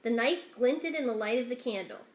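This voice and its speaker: A woman reading a children's story aloud in an expressive narrating style. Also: a little high, moderate pace. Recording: echoey room.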